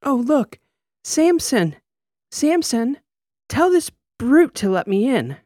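A man voicing a drunken female socialite who slurs and wheedles in a high voice. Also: big pitch swings, a little mellow, no room sound, studio quality, exaggerated voice. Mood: drunk pleading. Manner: slurred, wheedling, drawn-out words. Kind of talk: audiobook character voice.

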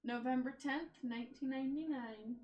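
A woman's voice: high-pitched, a little mellow, muffled recording. Chatty young woman in an echoey room. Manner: chatty, quick to question and tease. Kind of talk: casual chat among friends. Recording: roomy with faint hiss.

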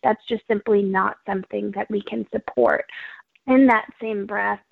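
A woman from Ethiopia, an immigrant rights organizer, answering interview questions earnestly and in a measured way, with frequent ums. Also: mid-range voice, fairly even intonation, gravelly, no room sound. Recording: tinny dry phone line.

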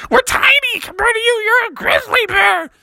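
An adult squeaking as tiny rabbits and squirrels. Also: normal speed, gravelly, pushed and bright, no echo, heavily acted. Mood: indignant. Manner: high-pitched, chattering.

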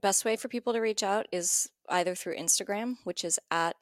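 A woman's voice: medium pitch, fairly quick, no echo, clean studio sound. A woman chatting casually as a guest in a podcast interview.

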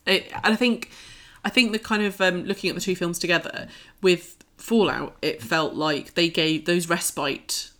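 Female arts show co-host, deep for a woman, informal conversational reviewing style. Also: moderate pace, fairly bright tone. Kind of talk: casual film discussion.

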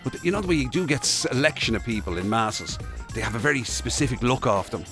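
Irish guy doing radio banter, sharp bright voice, teasing, music low behind. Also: animated, fast. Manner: teasing, questioning. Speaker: radio show host. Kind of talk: comic casual banter.

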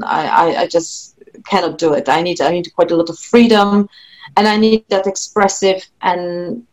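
A woman with a Polish accent, a singer-songwriter speaking as an interview guest, thoughtful, with frequent restarts and soft laughs. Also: mid-range voice, rough recording. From Poland. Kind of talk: reflective interview talk.

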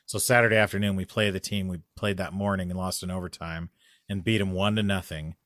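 Man, lowish voice, animated storyteller, dry close sound. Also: brisk, no echo. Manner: animated storytelling, rising loud when excited. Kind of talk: casual chat.

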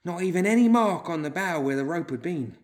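A man voicing a self-assured, bookish outlaw woman with a measured, matter-of-fact delivery in a story. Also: a little high, expressive, darkish tone, pitched above the performer's own voice, close to an everyday voice. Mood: knowing, grave.

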